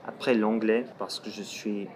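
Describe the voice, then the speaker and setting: Portuguese guy, lowish voice, chatting in french he's still learning, keeps hunting for words. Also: fairly even intonation, a little slow, darkish tone, a little hiss or hum. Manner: searching for words, frequent restarts. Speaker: guest, language youtuber. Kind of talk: casual conversation in a learned language. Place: outdoors in a city.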